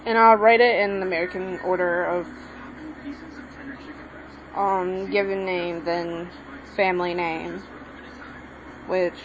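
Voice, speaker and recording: A woman's voice: lowish voice, steady tone, takes it slowly, pauses often. A young woman giving a casual lesson while she writes, rambling and correcting herself as she goes. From Mexican background. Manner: rambling, self-correcting, hesitant. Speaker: language tutorial maker. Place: home room with a TV on. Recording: lo-fi home recording with background noise.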